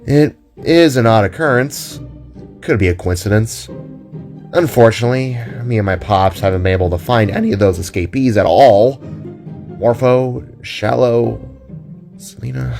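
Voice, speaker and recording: A man voicing a weary, earnest young investigator with a measured, candid manner in an audio drama. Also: mid-range voice, gravelly, a little mellow, faint music behind, close to an everyday voice. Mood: serious, subdued.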